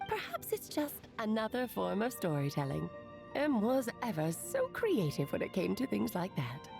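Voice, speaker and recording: A woman's voice: medium pitch, wildly swooping pitch, normal speed, vocal fry, over music. A woman voicing a playful, theatrical witch aunt who teases fondly and laughs between her lines. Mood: knowing.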